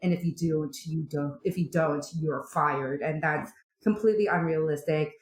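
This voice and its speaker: Chatty low-voiced woman, roomy sound. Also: normal speed. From Canada. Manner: chatty and animated, many fillers and likes. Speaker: opera singer guest. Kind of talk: casual podcast interview. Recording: roomy echo.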